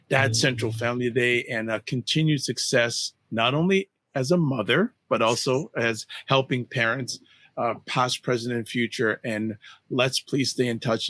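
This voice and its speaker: A man's voice: mid-range voice, fairly bright tone, no echo. A man co-hosting a podcast, speaking in a friendly way as he thanks the guest and sums up. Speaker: podcast co-host. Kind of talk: casual podcast chat.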